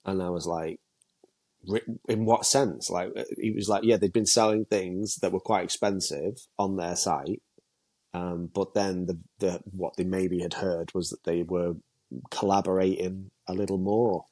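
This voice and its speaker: A middle-aged man, chatting casually as co-host, a bit stuffy from a cold and quick to laugh at himself. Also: low-pitched, steady tone, a little mellow. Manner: chatty, self-mocking, laughs often. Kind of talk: casual chat.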